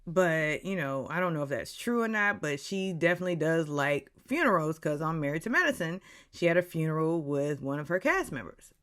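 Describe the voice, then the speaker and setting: Animated gossipy woman in her forties. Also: low voice, fairly quick. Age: 40s. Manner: animated, catty, drops into whispers for asides. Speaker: reality TV recap vlogger. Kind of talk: gossipy episode recap.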